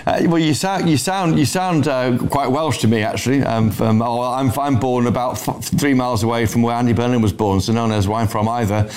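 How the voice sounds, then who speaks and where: A man's voice: medium pitch, a little fast, few pauses, darkish tone. A man hosting a live TV news interview, asking questions in a friendly, stumbling way. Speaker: news presenter.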